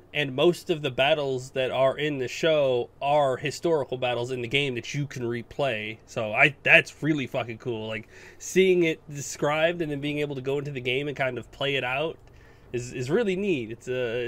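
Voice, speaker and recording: A man's voice: mid-range voice, a bit bright. Enthusiastic, sweary male gaming podcast co-host. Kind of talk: casual chat about video games.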